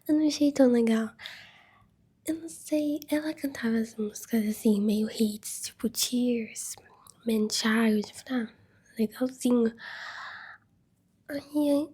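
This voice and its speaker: A Brazilian woman hosting a podcast, chatting casually and giggling as if sending a voice message, hoarse and nasal from a flu so her voice keeps dropping to a whisper. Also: a little high, mellow. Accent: Brazilian Portuguese. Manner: chatty, giggly, talks straight to listeners. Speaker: podcast host.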